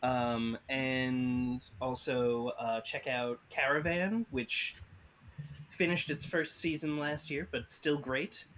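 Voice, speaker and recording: A man who works as a voice actor, chatting casually about his projects in a halting, word-searching way. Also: mid-range voice, a bit bright, slight background noise. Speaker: voice actor, guest on a panel. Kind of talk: casual chat, plugging projects.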